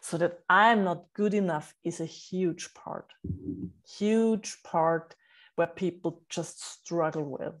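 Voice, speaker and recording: A woman's voice: lowish voice, takes it slowly. A middle-aged woman whose first language is German, explaining her book coaching earnestly in a podcast interview, with many fillers and restarts.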